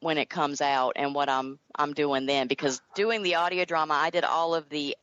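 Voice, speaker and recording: A woman's voice: sits low, fairly even intonation, moderate pace, a bit bright, no echo, dull audio. A middle-aged woman with a Southern American accent, answering interview questions conversationally as a guest author. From North Carolina, United States. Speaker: guest author and audio drama creator.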